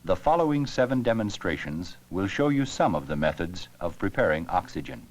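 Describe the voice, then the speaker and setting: A man narrating an educational science film with scripted, measured, explanatory delivery. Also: deep voice, very animated, dull audio.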